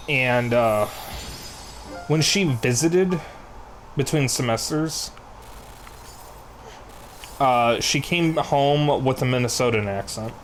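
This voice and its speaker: A man with a Chicago accent, streaming a video game and chatting casually with viewers in a relaxed, rambling way. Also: mid-range voice, a little hiss or hum. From Chicago area, USA. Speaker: live streamer. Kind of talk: casual chat while playing a video game.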